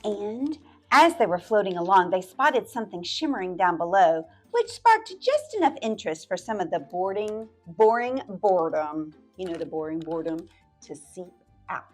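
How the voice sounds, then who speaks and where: A woman's voice: mid-range voice, very animated, normal speed, a little mellow, faint music behind. A woman library storyteller reading a picture book aloud to children, stretching words out and dropping into playful whispered asides.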